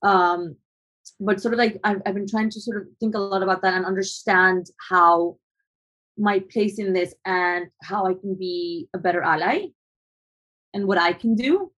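A woman's voice: mid-range voice, normal speed, a bit bright, low-quality recording. A young adult woman photographer from Colombia, answering interview questions in a thoughtful, hesitant way with frequent restarts.

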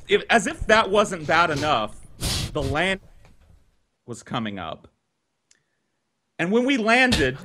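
A man in his thirties telling a personal story for laughs on a podcast, animated and dramatic, with swearing. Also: high voice. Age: 30s.

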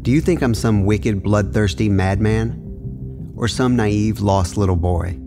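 An actor voices a wry, self-aware young man telling his own life story in a plain, measured reading. The voice sits a little low, with soft music beneath. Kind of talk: reading from an autobiography.

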